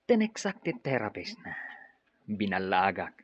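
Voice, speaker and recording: A scheming villain in a Filipino radio drama. He gloats slyly in a husky voice with very lively swings and a Filipino accent. The audio is dull and boxed-in. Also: lowish voice, takes it slowly, dry recording, exaggerated voice. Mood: gloating, sly. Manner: sly, chuckling, gloating. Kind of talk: Ilocano radio drama acting.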